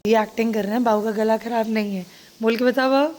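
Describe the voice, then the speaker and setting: A woman's voice: a little high, fairly even intonation, quick pace, darkish tone, slight background noise. A woman chatting to her phone camera at home for a daily family vlog, teasing her family as she goes. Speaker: vlogger. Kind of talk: casual vlog chat.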